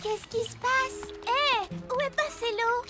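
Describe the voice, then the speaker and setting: An actor playing an excitable young child superhero in a French-dubbed animated cartoon, with an eager, emphatic delivery. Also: very high-pitched, unhurried. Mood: puzzled, worried. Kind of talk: animated cartoon dub acting. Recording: loud music under the voice.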